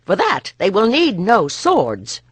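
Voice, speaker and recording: A reader voicing a fierce woman guarding a sanctuary, scolding loudly and high. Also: wildly swooping pitch, slow, breathy edge, dry recording, full character voice. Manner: loud, scolding.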